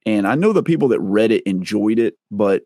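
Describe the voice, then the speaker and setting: A middle-aged man speaks in a low, clear, slightly boomy voice, rambling casually as an interview guest. He pokes fun at himself often. The sound is dry and close, recorded on a large studio microphone. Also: expressive, a little fast, dark tone, dry recording. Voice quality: deep, clear, a little boomy. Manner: rambling, self-deprecating, laughs at himself. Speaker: interview guest, content creator. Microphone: large-diaphragm studio microphone.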